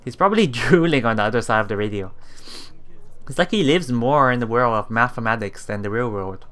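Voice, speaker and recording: A man doing a let's play, reading visual novel text aloud haltingly, with stumbles, self-corrections and casual asides. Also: mid-range voice, animated, a bit bright.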